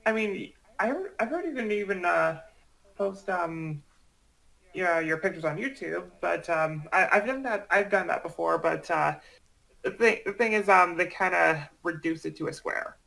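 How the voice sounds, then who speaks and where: A man's voice: sits high, animated, normal speed, creaky, sharp tone, rough recording. A man in a casual group chat, talking hesitantly and often repeating phrases. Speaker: project organiser.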